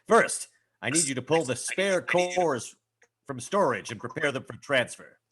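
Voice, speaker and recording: A man's voice: mid-range voice, expressive, unhurried, fairly bright tone, husky. A man playing a pompous, easily affronted starship captain with haughty delivery in a comic sci-fi table read. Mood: self-important.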